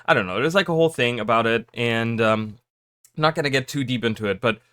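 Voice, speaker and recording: A man talking casually to his viewers, rambling with lots of fillers and restarts, his voice a little raspy from just waking up. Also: mid-range voice, fast talker, fairly bright tone, no echo, clean studio sound. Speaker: gaming YouTuber. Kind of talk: casual chat to camera.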